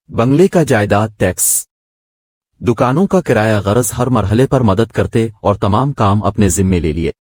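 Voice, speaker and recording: Low, clear-voiced man narrating. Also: a little mellow, no echo, clean studio sound. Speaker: story narrator. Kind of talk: scripted narration of a moral story.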